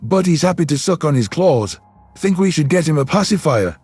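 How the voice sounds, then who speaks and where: A man's voice: on the high side, expressive, normal speed, a little mellow, breathy edge, faint music behind, pitched above the performer's own voice. A man voicing a dry-witted human guard who teases wryly in a sci-fi audio story. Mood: mocking. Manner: wry, mocking.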